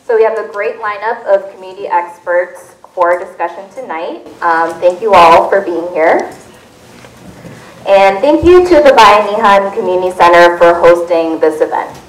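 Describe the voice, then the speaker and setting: Filipino-born lady moderating a panel, reads her notes steady, roomy hall sound, bit off the mic. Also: medium pitch, far from the mic. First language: Tagalog. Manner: clear, measured, reads from notes. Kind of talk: moderating a panel, reading prepared remarks. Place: community center hall with audience. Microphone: handheld mic.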